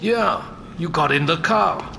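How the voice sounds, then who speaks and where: A man's voice: fairly high pitch, unhurried, vocal fry, bright, forceful tone, slight background noise, exaggerated voice. An actor playing a jaded, sardonic middle-aged male senior detective in an audio drama, curt and dry. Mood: dry, dismissive.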